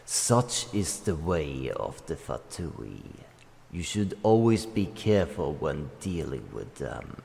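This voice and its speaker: A wise old dragon acted out by a man speaks from within a long, echoing reverb with faint noise. The voice is low and gravelly, with grave, formal phrasing and deliberate pauses, like a protective guardian giving counsel in a fantasy game. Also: big pitch swings, darkish tone, heavily acted. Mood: disdainful, warning. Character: ancient male dragon, solemn, wise, protective and cautioning. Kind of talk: fantasy game roleplay monologue.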